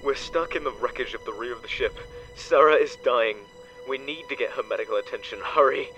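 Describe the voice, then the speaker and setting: A man playing a desperate, protective crash survivor in an audio drama, urgent and halting as he pleads. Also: medium pitch, fairly bright tone, faint music behind, close to an everyday voice, rough recording. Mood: desperate, pleading. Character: desperate, protective male crash survivor stranded with dying crewmate.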